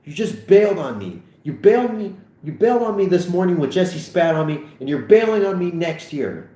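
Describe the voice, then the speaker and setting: A man's voice: sits high, big pitch swings, normal speed, mellow, pitched above the performer's own voice. A man voicing an aggrieved, whiny teenage boy who accuses his best friend, as part of a script reading. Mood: hurt, accusing. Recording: echoey room.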